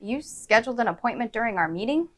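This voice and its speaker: A woman playing an earnest, skeptical local TV field reporter in a mock news report, measured and probing. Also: fairly high pitch, expressive.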